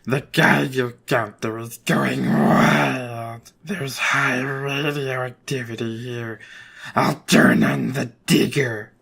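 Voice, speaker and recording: A man playing a gloating supervillain crime boss in a motion comic, with a gravelly, husky voice and a grandiose, deliberate manner. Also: mid-range voice, steady tone, fairly bright tone, heavily acted. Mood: intent. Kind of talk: motion comic villain acting.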